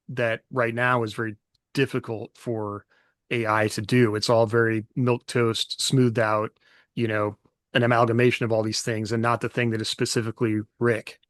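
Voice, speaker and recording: A man's voice: mid-range voice, no echo, studio quality. A man co-hosting a casual podcast, musing aloud in a loose, conversational way. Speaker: podcast co-host. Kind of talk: casual panel chat.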